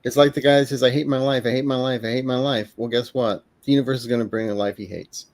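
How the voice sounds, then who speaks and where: Emphatic male co-host, middle pitch. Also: steady tone, quick pace, a little mellow. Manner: emphatic, encouraging, preachy. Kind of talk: casual livestream chat.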